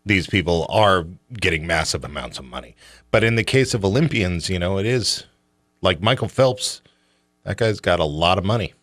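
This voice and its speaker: A man's voice: low-pitched, lively intonation, normal speed, a bit bright, dry recording, clean studio sound. A man hosting a radio show in a casual, colloquial, opinionated and joking conversational style.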